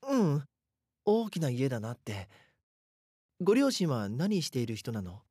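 A haunted teenage boy, voiced by a man, tells his own horror story in a radio-play style. He sounds earnest and dramatic, with a middling pitch and a close, dry sound. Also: very animated, a little slow, a little mellow, husky, no room sound. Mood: hesitant. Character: frightened schoolboy haunted by his doppelganger. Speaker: voice actor narrating a drama. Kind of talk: first-person scripted horror narration.

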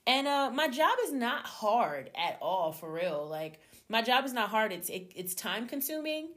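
A young adult woman hosting her own podcast, talking casually and animatedly to her listeners, her voice slightly hoarse from a cold. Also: a little high, a little slow. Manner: chatty, animated, addresses listeners as y'all. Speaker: podcast host. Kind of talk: casual solo podcast chat.

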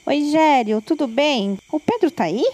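Worried mother played by a woman for audio drama: Brazilian, high, soft-toned voice, pleading. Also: animated, measured pace. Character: anxious, pleading mother of a missing son. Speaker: voice actor.

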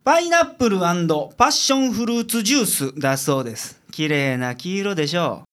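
A performer playing a gruff, smug male private detective with a Kansai accent in a community radio drama. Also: mid-range voice, lively intonation, brisk, fairly bright tone, natural voice.

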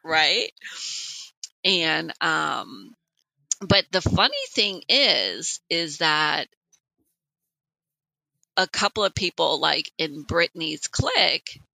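A woman in her late forties co-hosting a podcast, telling personal stories in an animated, conversational way. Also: low voice, slow pace, creaky, pauses often, dry recording, rough recording. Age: late 40s. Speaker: podcast co-host. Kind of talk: casual personal podcast chat.

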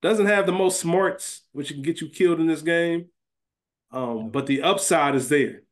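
A man's voice: fairly high pitch, measured pace. An American man co-hosting a podcast in casual, bantering chat. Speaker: podcast co-host.

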